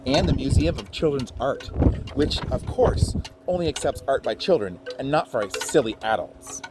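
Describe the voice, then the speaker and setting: Animated man reading a storybook to children. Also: mid-range voice, normal speed, background noise. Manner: playful, expressive, checks in with listeners. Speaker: teacher reading a picture book aloud to children. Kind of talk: animated children's read-aloud with chatty asides.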